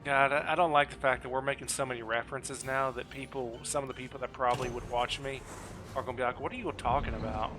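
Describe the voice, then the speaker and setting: A man's voice: medium pitch, fairly even intonation, fast talker, pushed and bright. A man chatting casually over gameplay as a streamer, with a joking, self-deprecating manner. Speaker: gaming streamer. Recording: game music behind the voice. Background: video game music and sounds.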